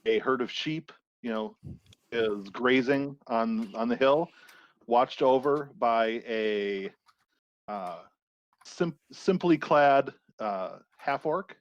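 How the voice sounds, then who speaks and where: A man playing a tabletop game, casually describing things in a halting, measured way. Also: medium pitch, no room sound, lo-fi sound. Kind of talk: casual character introduction.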